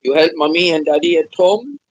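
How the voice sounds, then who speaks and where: A man in his seventies hosting a live stream, chatting gently and repeating himself, humming between topics. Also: fairly high pitch, a little fast, dry recording, lo-fi sound. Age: 70s. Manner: hums to himself between chats. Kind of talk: casual live-stream chat.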